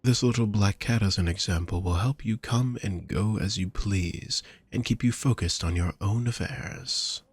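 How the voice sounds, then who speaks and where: A man playing a doting, courtly vampire shopkeeper in an intimate audio roleplay, with a husky voice and gentle, flowery, formal speech addressed to the listener. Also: lowish voice, moderate pace, hardly any pauses, dry recording. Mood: smooth, teasing.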